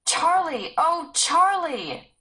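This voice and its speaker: A woman voicing an anxious girl in a storybook, calling out for a lost boy in long drawn-out shouts. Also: very high-pitched, sing-song, pitched above the performer's own voice, full character voice. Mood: urgent calling.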